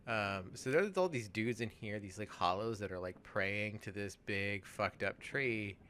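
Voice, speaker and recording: A man co-hosting a let's play, chatting casually and thinking aloud in a halting, musing way. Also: on the low side, fairly bright tone, a little hiss or hum. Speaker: let's play co-host playing the game. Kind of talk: casual game commentary chat.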